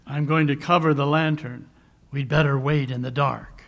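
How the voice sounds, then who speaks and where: Guy doing a commanding old radio detective, crisp, a bit high, dismissive and calm. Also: moderate pace, very noisy. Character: calm, commanding master detective, brisk and unflappable. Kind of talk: 1940s radio drama acting before a live audience.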